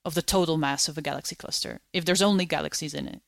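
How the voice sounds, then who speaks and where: Woman calmly explaining astronomy. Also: low voice, quick pace, dry recording, clean studio sound. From Netherlands. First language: Dutch. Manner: clear, patient explainer. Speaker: astronomer guest. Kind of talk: interview, explaining science.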